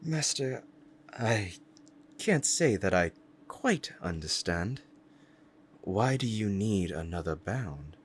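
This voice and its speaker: A man's voice: lowish voice, very animated, slow, long pauses, slight background noise. A man playing a powerful, proud demon devoted to a master, speaking in a formal, courtly and menacing way in an ASMR roleplay drama. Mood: uneasy, questioning.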